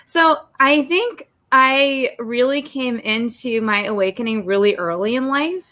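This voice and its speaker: Chatty high-voiced woman guest. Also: sharp tone. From Russia. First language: Russian. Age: 30s. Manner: chatty, quick-talking, laughs easily. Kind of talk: casual video-call interview. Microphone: video call.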